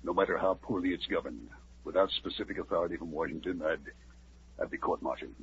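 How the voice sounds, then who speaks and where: An actor playing a cautious army colonel, formal and measured and sometimes hesitant, in a 1950s radio drama. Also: male voice, deep voice, husky. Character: cautious middle-aged male army colonel. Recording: muffled old radio recording with faint hiss.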